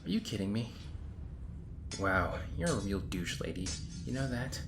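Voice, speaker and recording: A man's voice: fairly low pitch, sing-song, unhurried, over music. A man playing an exasperated, sarcastic hero in an audio roleplay, rambling in casual modern phrasing. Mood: frantic, indignant. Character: exasperated, sarcastic young hero stuck in time loops. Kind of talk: audio roleplay acting, conversational monologue. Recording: roomy echo, clear background noise. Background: designed ambience.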